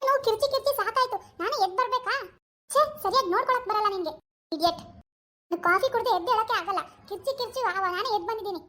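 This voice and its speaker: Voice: very high voice, fast, pushed and bright. A performer voicing a spoiled, bossy young wife in a comic family skit who talks in a haughty, whiny way and mixes in English words. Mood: demanding.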